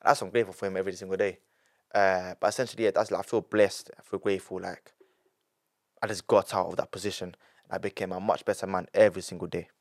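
A nineteen-year-old man, a podcast guest telling his story in an animated way, full of repetition and street slang. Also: deep voice, fast. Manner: animated, repeats himself, heavy street slang. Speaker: young entrepreneur guest.

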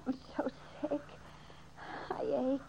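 A woman's voice: sits high, level tone, slow, creaky, full character voice. A woman playing a dazed, sick young secretary, faint and confused, in an old-time radio drama. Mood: distressed, confused. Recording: muffled, hissy old radio recording.

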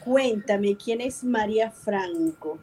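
A woman's voice: mid-range voice, slow, warm tone, slight background noise. A bubbly woman with a Venezuelan accent hosting a casual chat show in an effusive way. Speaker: show host.

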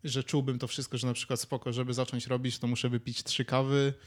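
A man's voice: medium pitch, level tone, brisk. A man co-hosting a podcast, chatting casually and musing aloud as he searches for words. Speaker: podcast co-host. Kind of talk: casual chat, musing and telling anecdotes.

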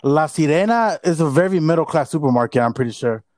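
A man's voice: on the high side, lively intonation, quick pace, a little mellow, dry recording, studio quality. Joking, code-switching male co-host. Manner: rambling, joking, code-switching Spanish and English. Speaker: podcast co-host. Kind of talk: casual podcast chat. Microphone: not his regular mic.

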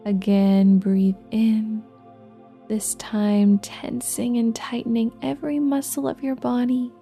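A woman leading a guided relaxation meditation in a soft, gentle, breathy and soothing voice, leaving long pauses between phrases. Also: fairly high pitch. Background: soft new-age music with singing bowls.

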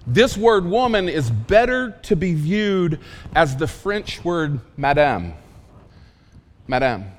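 A man preaching a sermon in a casual, emphatic way, with asides and quick questions to the congregation. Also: on the high side, big pitch swings, long reverb tail. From Oklahoma. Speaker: pastor.

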